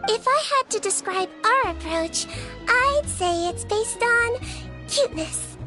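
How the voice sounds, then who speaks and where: A woman's voice: very high-pitched, expressive, unhurried. A woman voicing a sweet, girlish nurse creature in human form in a video game, polite, earnest and matter-of-fact. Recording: music behind the voice.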